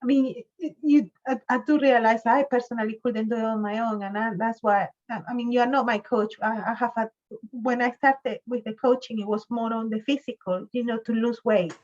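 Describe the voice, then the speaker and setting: A woman in her fifties hosting a conversational interview, halting and often repeating her words. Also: fairly high pitch, steady tone, a little mellow, highs cut off. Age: 50s. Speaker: host, interviewer.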